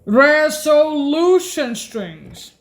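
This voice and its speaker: Very slow, high-pitched woman presenting sounds. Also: big pitch swings, over music. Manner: hesitant, deliberate, listing names one by one. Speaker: presenter demonstrating keyboard voice sounds. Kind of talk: halting informal music demo narration.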